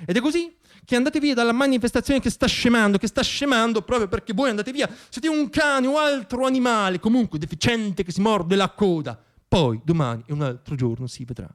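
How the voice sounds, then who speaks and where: A man's voice: very high-pitched, animated, brisk, hardly any pauses. A man performing a satirical radio theatre monologue in a dramatic, ironic storytelling manner. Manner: dramatic, ironic, shifting between hushed and declaimed.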